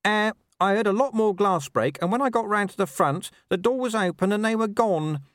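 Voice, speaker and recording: Nervous young cop, a guy doing him, high pitched, stammers, super dutiful. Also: lively intonation, normal speed, fairly bright tone, no echo, clean studio sound. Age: young adult. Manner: anxious, stammering, overly dutiful. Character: nervous, cautious young male constable.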